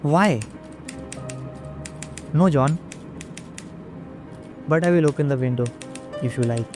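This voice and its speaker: An actor playing an uneasy, watchful night manager in an audio drama, with measured, brooding delivery. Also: male voice, on the high side, darkish tone, soft background music. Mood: casual concern. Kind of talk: audio drama narration and dialogue.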